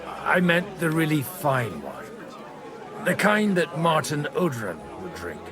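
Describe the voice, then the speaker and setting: Medieval game lad: cocky flirt, highish voice, hugely varied melody. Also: male voice, huge pitch swings, takes it slowly, music in the background, a crowd in the background, exaggerated voice. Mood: sly, persuasive.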